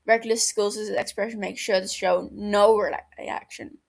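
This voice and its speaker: A woman narrates a piece of fan fiction for an audiobook. Her voice sits at a middling pitch and is noticeably creaky, with fry running through the scripted reading. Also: steady tone, normal speed.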